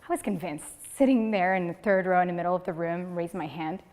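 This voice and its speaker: A woman's voice: fairly low pitch, brisk. A woman, giving a humorous prepared speech at a speaking club and acting out the people in her stories. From Morocco. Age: 30s. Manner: lively storytelling, acting out quoted people. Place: meeting room of a speaking club. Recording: long reverb of a hall.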